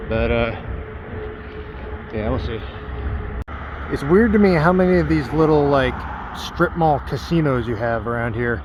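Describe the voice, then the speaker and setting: A man's voice: medium pitch, expressive, unhurried, pauses often. A man vlogging to camera outdoors, rambling and self-doubting with many ums, his voice worn from singing. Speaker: travel vlogger. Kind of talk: casual vlog talk to camera. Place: outdoors by roads and stores. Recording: slightly dull outdoor camera audio with clear street noise.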